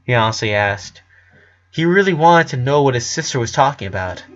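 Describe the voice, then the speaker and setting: A man's voice: mid-range voice, lively intonation, a little fast. A man narrating a dramatic written story, occasionally stumbling over his words. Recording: slightly dull lo-fi recording.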